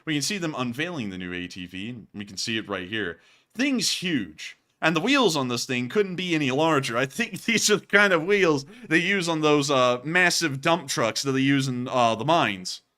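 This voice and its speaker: A man's voice: mid-range voice, normal speed. A man hosting a war news show, talking casually over video clips, speaking straight to his viewers and often sounding incredulous. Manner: animated, talks straight to viewers, incredulous asides.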